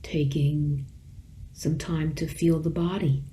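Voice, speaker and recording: A woman teacher leading a guided meditation, speaking gently in measured phrases with long pauses between them. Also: low-pitched, fairly even intonation.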